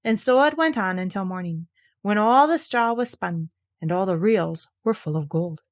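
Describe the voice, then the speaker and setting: A woman storyteller reading a fairy tale aloud in an expressive, theatrical and clearly enunciated way. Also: mid-range voice, normal speed.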